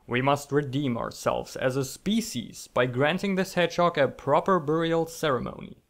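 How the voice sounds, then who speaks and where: A man's voice: on the high side, steady tone, normal speed. A young man narrating scripted comic stories in a theatrical, mock-epic manner, with self-deprecating asides. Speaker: online storyteller.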